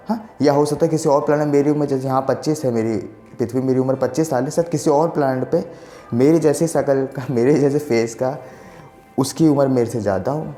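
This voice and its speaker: Mellow-voiced young man explaining, echoey room. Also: mid-range voice. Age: 20s. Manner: rambling, repeats filler checks for agreement. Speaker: science explainer channel host. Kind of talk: casual explainer talk to camera.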